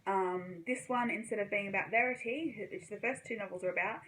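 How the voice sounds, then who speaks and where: Bright-voiced young woman chatting about books. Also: mid-range voice, fairly quick, a little hiss or hum, poor audio. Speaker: book reviewer. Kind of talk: casual book wrap-up chat to camera.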